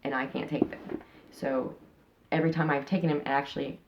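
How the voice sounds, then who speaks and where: A woman's voice: low voice, fairly even intonation, brisk, highs cut off, noisy background. A woman in her thirties talking frankly in a casual interview, rambling with many fillers and restarts. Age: 30s. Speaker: interviewee, channel host.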